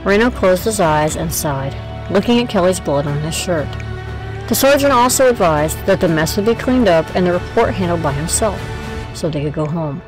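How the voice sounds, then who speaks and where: A woman's voice: on the low side, animated, normal speed, music in the background, rough recording. A woman narrating a scripted audio drama as its storyteller.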